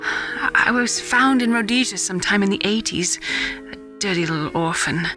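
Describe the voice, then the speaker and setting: An actress playing a lonely, sorrowful woman scientist in a video game, confessing bitter secrets in a measured, halting way. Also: mid-range voice, close to an everyday voice. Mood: sad, confessional. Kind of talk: dramatic video game voice acting. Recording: prominent music under the voice. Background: music.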